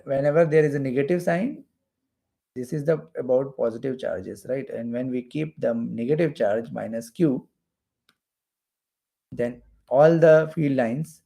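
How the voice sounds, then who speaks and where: Gravelly male physics teacher. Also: medium pitch, dark tone. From India. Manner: repeats phrases for emphasis. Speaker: physics teacher for exam preparation. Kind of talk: explanatory lecture while drawing diagrams.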